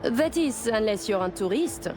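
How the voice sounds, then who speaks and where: Game role: woman as flirtatious French high-society gambler, haughty and sharp-tongued, loud score underneath. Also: expressive, moderate pace, music in the background, close to an everyday voice. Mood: teasing. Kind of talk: video game cutscene acting.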